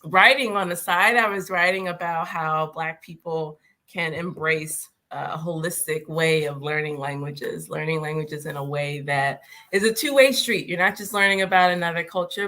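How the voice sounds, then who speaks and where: A woman chats as a guest in a relaxed interview, her voice bright and lively in tone. She talks easily and breaks into laughter often between her phrases. She speaks from her home. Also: fairly low pitch, moderate pace. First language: English. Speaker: guest, former conference attendee. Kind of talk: casual conversational interview. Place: at home with a small child nearby.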